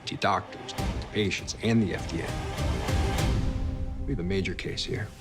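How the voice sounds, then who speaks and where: An actor playing a firm, accusing federal prosecutor. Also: male voice, sits low, moderate pace. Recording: film trailer mix over loud music.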